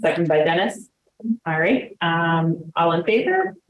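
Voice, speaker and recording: A woman's voice: on the low side, big pitch swings, a little slow. A woman chairing a town committee meeting, speaking with many fillers and hesitations. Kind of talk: running a public meeting. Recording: roomy echoey meeting room.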